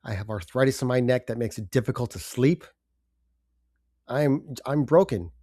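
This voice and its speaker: An older man hosting a personal podcast, congested from a cold, speaking in a halting, subdued way with pauses and fillers. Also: mid-range voice, dark tone, no room sound, studio quality. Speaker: podcast host. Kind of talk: personal confessional monologue.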